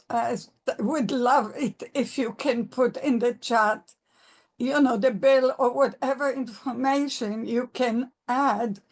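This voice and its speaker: Woman moderator, unhurried and slightly high, halting with fillers. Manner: slow, halting, many fillers. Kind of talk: moderating a webinar panel. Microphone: video call.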